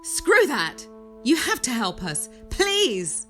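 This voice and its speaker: An actress playing a sharp-tongued, distraught widow in a podcast drama, snappish and emotional. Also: female voice, high voice, wildly swooping pitch, slow pace, faint music behind, heavily acted. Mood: desperate, pleading. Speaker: actor in audio drama.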